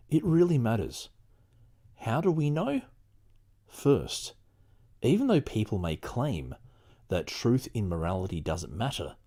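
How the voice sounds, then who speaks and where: Male podcast host, middle-aged, husky edge, very expressive intonation, casual livestream and read-aloud style. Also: fairly low pitch. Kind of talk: casual livestream chat and reading a book aloud.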